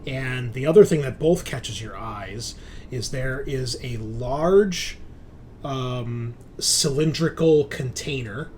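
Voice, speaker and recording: A man's voice: medium pitch, slow pace, darkish tone, slight background noise. A man running a tabletop sci-fi game as game master, describing scenes conversationally and correcting himself as he improvises.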